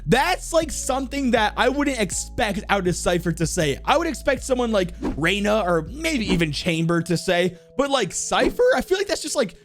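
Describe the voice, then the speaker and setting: A man's voice: high voice, very animated, normal speed, hardly any pauses. A man hosting a video game ranking with casual, enthusiastic, rambling commentary full of slang. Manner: rambling, uses lots of slang. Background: faint music.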